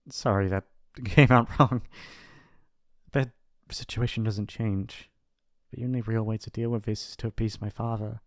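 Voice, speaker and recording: A man's voice: medium pitch, dry recording, close to an everyday voice. Guy playing awkward shy mafia prince with a crush, apologetic and rambly, asmr roleplay, really dry close recording. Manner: hesitant, rambling, soft-hearted and awkward. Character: shy, lovestruck young mafia boss's son, apologetic. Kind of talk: intimate ASMR roleplay monologue.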